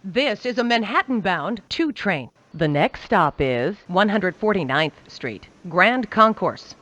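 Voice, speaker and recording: Woman train announcer, lively pitch swings. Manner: measured, formulaic announcer delivery. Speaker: transit announcer.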